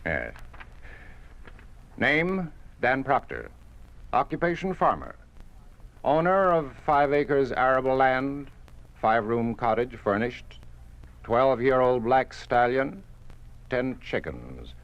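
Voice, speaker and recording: A cold, unyielding government official in a classic radio drama. His delivery is formal and bureaucratic, with strongly varied intonation on a mid-pitched voice. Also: very animated, slow pace, long pauses, highs cut off. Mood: officious. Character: cold, officious male state tax official. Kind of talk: 1950s radio drama acting.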